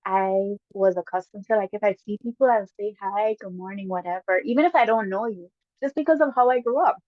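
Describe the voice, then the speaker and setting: A woman with a Guyanese accent, a guest casually telling stories with lively bursts and frequent laughs. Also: medium pitch, dry recording. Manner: lively, laughs often, quick bursts when excited. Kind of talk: casual storytelling chat. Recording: dull lo-fi dry sound.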